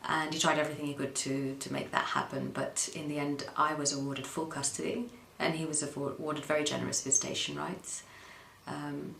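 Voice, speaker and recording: A woman from the Netherlands tells her story in an interview, with a very low voice and plenty of gravelly fry. She speaks hesitantly, with many ums and pauses. The room is echoey, with faint noise underneath. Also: a bit bright. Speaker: interview guest. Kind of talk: personal account in an interview.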